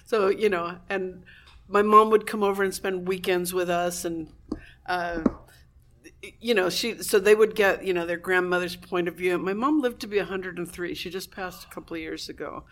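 A woman in a casual conversation, animated and laughing often, with a lot of fillers as she talks. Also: on the low side, normal speed, slight background noise. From Cuban family in Southern California. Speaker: guest, blogger. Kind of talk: casual chat.

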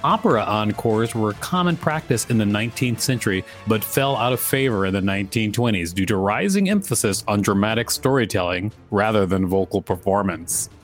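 A man's voice: on the low side, lively intonation, brisk, hardly any pauses, faint music behind. A man co-hosting a podcast, reading an article aloud and chatting casually about it. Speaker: podcast co-host.

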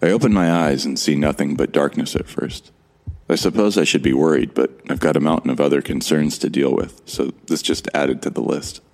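A man playing a calm, wry, self-deprecating amnesiac survivor who narrates an audio drama in a gravelly, measured, introspective way. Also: extremely low pitch, low-quality recording.

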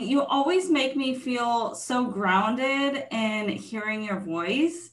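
A woman's voice: a little high, a bit bright. Effusive woman podcast host, echoey room. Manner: effusive, gushing with praise. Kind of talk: podcast interview.

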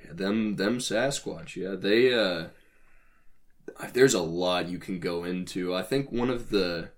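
A man co-hosting a casual podcast, talking about folklore in a halting, wry, dry-humoured way. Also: low voice. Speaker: podcast co-host.

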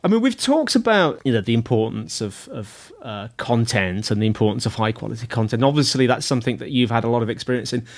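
A man hosting a podcast, asking a guest chatty questions that he rephrases as he goes. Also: medium pitch. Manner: chatty, rephrases his questions as he asks them. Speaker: podcast host. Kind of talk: interview questions.

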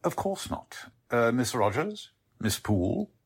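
An actor playing a polite, defensive warehouse manager in a 1940s radio drama, formal and hesitant in manner. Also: male voice, mid-range voice, big pitch swings, unhurried, creaky, heavy background noise. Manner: courteous, hesitant, fussily formal.

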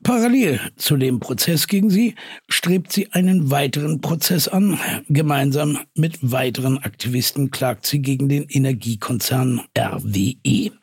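A man's voice: mid-range voice, moderate pace, creaky, darkish tone, husky, no echo, clean studio sound. A man playing a probing, sceptical journalist who hosts an interview podcast in an audio drama. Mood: sober, reporting. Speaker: podcast host.

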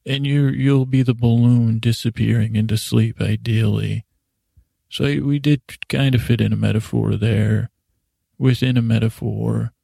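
A man hosting a sleep podcast, rambling in a halting, self-interrupting way with silly, self-deprecating asides that trail off mid-thought. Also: medium pitch, steady tone, gravelly, dark tone, no room sound. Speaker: podcast host.

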